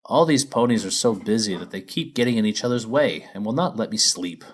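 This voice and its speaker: A man's voice: fairly low pitch, expressive, brisk. A man voicing a lazy but good-hearted male dragon from a storybook in a plain, earnest manner. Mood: mildly grumbling. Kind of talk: storybook character voice.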